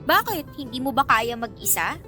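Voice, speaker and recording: A woman's voice: sits high, very animated, moderate pace, sharp tone, soft background music, full character voice. A woman playing a feisty young Filipina in a radio romance drama, bickering with sassy, sarcastic and whiny banter. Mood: exasperated. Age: young adult.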